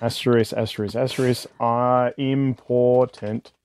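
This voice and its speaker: A man co-hosting a radio show, playful and quick to laugh. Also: medium pitch, flat delivery, darkish tone, clear, resonant tone. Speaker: radio co-host. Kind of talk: casual radio banter.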